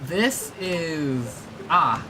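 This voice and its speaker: A woman's voice: low-pitched, animated, drawn-out words, noisy background. A woman streamer giving casual playthrough commentary, muttering to herself and to the game, with game music behind her. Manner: talks to self and to the game. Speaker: gaming streamer.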